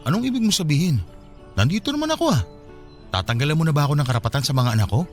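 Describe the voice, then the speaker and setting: Animated, pleading Filipino husband played by a man. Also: on the high side, rapid-fire, faint music behind. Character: remorseful, pleading absent husband and father. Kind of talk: radio drama acting.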